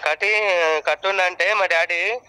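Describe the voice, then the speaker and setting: A man's voice: high-pitched, steady tone, normal speed, pushed and bright. A man with a Telangana accent making an insistent, aggrieved complaint as a farmer on a phone call. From Telangana, India. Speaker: farmer calling about a loan waiver. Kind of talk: phone complaint, casual conversation. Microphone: telephone.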